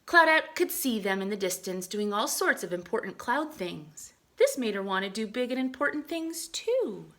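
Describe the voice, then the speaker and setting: Female storybook reader, teacherly, very animated and bright, with hushed asides to young listeners. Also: a little high, moderate pace, hardly any pauses. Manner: expressive, often drops into hushed asides. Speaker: teacher reading aloud to children. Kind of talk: picture-book read-aloud with asides.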